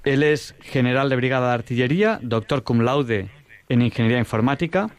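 A man from Catalonia hosts a live call-in radio show. He sounds friendly and relaxed, with small hesitant restarts. His voice sits at a middle pitch, clear into the studio microphone. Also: brisk, no echo. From Catalonia, Spain. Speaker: radio host.

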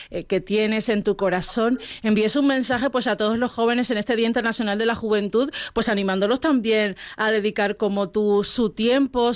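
A woman hosting a radio program, asking a guest for a message and introducing the next segment. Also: medium pitch. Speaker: radio host. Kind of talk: radio interview and program links.

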